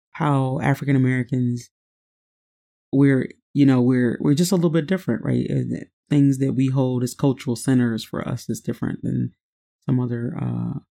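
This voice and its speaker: A man's voice: mid-range voice, gravelly, dark tone. A man co-hosting a podcast, introducing a guest in an easygoing, rambling way. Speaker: podcast co-host.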